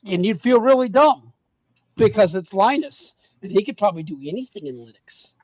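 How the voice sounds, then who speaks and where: A man's voice: high-pitched, animated, moderate pace, husky, dry recording. A man co-hosting a podcast in casual chat, talkative with sarcastic asides. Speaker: podcast co-host.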